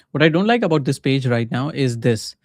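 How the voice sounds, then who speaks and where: A man from Pakistan with a Pakistani accent and Urdu as his first language, casually thinking aloud in short halting phrases as he live-streams coding. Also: a little high, dry recording, clean studio sound. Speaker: livestreaming coder and mentor.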